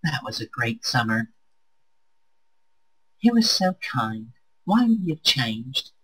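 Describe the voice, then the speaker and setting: A man's voice: medium pitch, sing-song, slow pace, pushed and bright, husky, heavily acted, poor audio. A man playing a weary, reluctant male space captain in a visual novel read-aloud. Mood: wistful.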